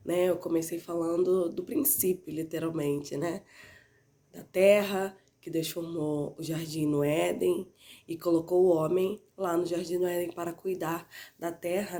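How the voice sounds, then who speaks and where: Hoarse nasal woman with a cold. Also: on the low side, mellow. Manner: conversational, frequently adds "né?" tag questions. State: congested and slightly hoarse from a cold. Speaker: Bible teacher. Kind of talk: Bible class teaching.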